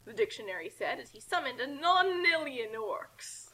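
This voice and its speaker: Female story reader, high-pitched and swooping, animated comic narration. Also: moderate pace, rough recording. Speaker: reader of fan fiction.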